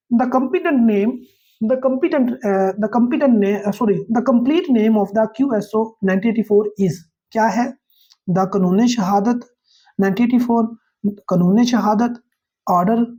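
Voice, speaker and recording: Male law tutor, Pakistani accent, relaxed and slightly raspy, repetitive explanatory delivery for exam students. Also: high-pitched. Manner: didactic, repeats key phrases and poses questions to answer himself. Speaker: law teacher. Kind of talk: exam-prep lecture in a demo class.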